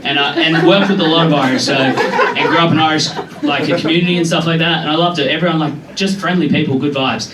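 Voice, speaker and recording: A young Australian man performing a chatty, self-deprecating stand-up set with quick asides to a live pub crowd. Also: a little high, steady tone, recorded from a distance, background noise. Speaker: stand-up comedian. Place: pub comedy room with a live audience. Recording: echoey room taped from afar, loud crowd laughter.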